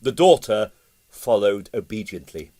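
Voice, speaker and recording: A man narrating a comic novel as an audiobook, with dry, expressive storytelling. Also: on the low side, measured pace.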